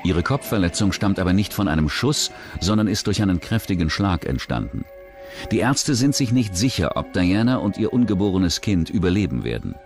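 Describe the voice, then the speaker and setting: A man's voice: sits low, big pitch swings, normal speed. A man reading scripted narration for a true-crime documentary. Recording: music bed under narration. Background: music.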